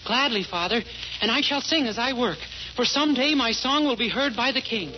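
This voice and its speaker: A man's voice: very high-pitched, moderate pace, voice pushed up. A man voicing a poor, hopeful young boy who speaks in an earnest, timid and trusting way, in 1940s radio style. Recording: dull 1940s radio broadcast.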